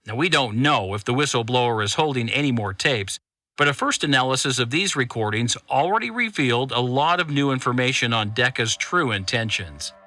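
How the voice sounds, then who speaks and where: An actor playing a zealous conspiracy journalist who delivers a scripted, urgent news bulletin in a fiction podcast. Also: male voice, medium pitch, lively intonation, a little fast, pushed and bright, dry recording. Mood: urgent, grave. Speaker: journalist, channel host.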